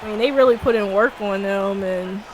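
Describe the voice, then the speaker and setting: A woman's voice: medium pitch, moderate pace, noisy background. A woman doing upbeat, chatty color commentary, full of fillers and easy laughter. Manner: upbeat, chatty, laughs easily, lots of likes. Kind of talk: casual sports commentary banter.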